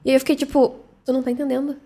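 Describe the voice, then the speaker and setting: Woman host, fairly high voice, fast, lively casual chat.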